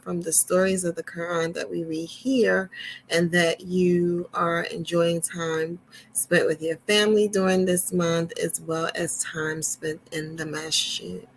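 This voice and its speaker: Female storytime host, lowish voice, very unhurried emphatic children's reading with conversational asides. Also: a little hiss or hum, low-quality recording.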